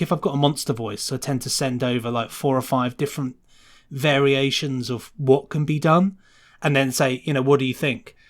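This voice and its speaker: A man with a British accent giving a casual podcast interview as a sound designer, explaining his working process and illustrating points with imagined quotes. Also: medium pitch.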